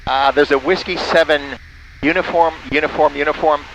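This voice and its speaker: A man's voice: a little high, fairly even intonation, moderate pace, fairly bright tone, low-quality recording. A man narrating a flight casually and making amateur radio calls as a pilot from a small airplane cockpit. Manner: spells out callsigns in phonetic alphabet. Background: faint vehicle engine noise.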